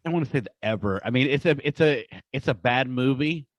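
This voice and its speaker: A man hosting a podcast, chatting casually and enthusiastically with a halting delivery. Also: medium pitch, no room sound, muffled recording. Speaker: podcast host.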